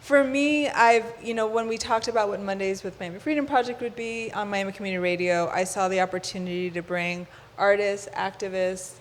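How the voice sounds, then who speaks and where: A woman's voice: mid-range voice, a little fast, few pauses. Host: woman with a mid-range voice, upbeat, echoing radio studio with faint hiss. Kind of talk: radio interview.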